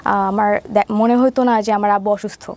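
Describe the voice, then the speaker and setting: A woman's voice: medium pitch, steady tone, fairly quick, a little mellow. A woman of around twenty from Mymensingh with a Bangladeshi accent, telling her life story conversationally as an interview guest, step by step with habitual filler phrases.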